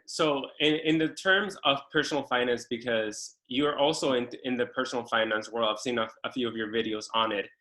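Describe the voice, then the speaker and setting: A man's voice: mid-range voice, steady tone, fairly quick, hardly any pauses, pushed and bright. A man hosting an interview over a video call, introducing his guest and asking short prompting questions. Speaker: host.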